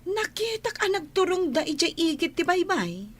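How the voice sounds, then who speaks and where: Piercingly high, feisty nosy housemaid. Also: female voice, big pitch swings, moderate pace. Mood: chirpy. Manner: exclamatory, prying, theatrical. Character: nosy, feisty young housemaid, the comic best friend. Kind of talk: Ilocano radio comedy-drama acting.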